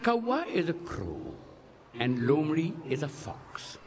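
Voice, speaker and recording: Slow, sing-song male story narrator. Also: fairly high pitch, huge pitch swings, background noise. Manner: sing-song, rhyming delivery. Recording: studio narration over music score.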